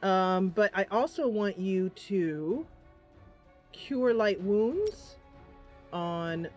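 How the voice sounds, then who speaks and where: A woman's voice: mid-range voice, animated, drawn-out words, faint music behind. A woman giving casual, chatty let's play commentary, thinking aloud and reacting as she plays. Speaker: gaming channel host.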